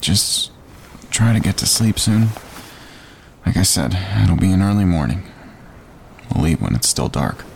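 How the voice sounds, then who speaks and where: Audio drama: easygoing, doubting, weary male camper; low register, lively intonation, outdoor noise behind. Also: unhurried, creaky, long pauses, full character voice. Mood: sleepy, soothing. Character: drowsy, sceptical, easygoing man on a wilderness trip. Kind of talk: naturalistic audio drama acting. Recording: noisy outdoor ambience behind the voice.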